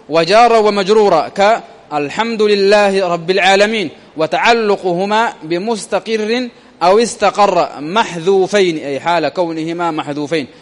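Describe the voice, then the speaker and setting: Guy teaching grammar in a high voice, keeps asking the class questions, lots of reverb. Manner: repeats phrases and quizzes listeners with rhetorical questions.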